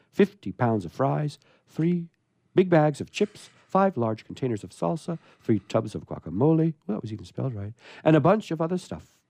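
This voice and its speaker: A man's voice: mid-range voice, dark tone, no echo. A man hosting a radio show and reading a humorous story aloud, with playful, amused asides.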